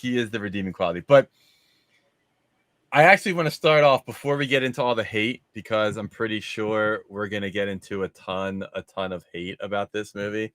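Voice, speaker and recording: A man's voice: on the low side, fairly quick, a bit bright, no room sound, studio quality. A man hosting a casual panel discussion about movies, steering the conversation. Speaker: host of a movie discussion show.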